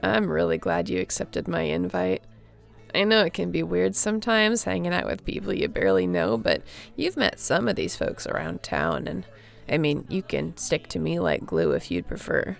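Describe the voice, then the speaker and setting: A woman playing a friendly, easygoing young surfer girl in an audio roleplay, chatting casually and welcomingly with a creaky voice and laid-back slang. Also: on the low side, big pitch swings, normal speed, faint music behind, exaggerated voice. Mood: reassuring.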